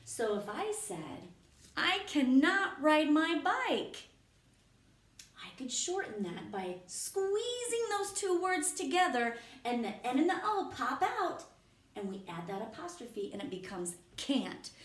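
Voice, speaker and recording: Slow, patient woman teaching young children. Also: high voice, big pitch swings. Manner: patient, slow and encouraging, explaining step by step. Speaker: elementary school teacher. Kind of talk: remote classroom lesson for young children. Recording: echoey room.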